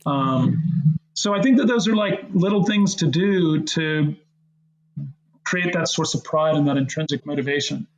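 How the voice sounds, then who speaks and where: Middle-aged male guest, rambling and gravelly, recorded in a roomy space. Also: a little high, measured pace, muffled recording. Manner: rambling, animated, many restarts and fillers. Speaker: guest, co-founder of a language app. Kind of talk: casual interview talk.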